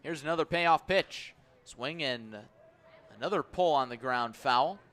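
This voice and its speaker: A man calling baseball play-by-play on the radio in measured, descriptive, clipped phrases. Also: on the high side, fairly bright tone. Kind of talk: radio sports commentary.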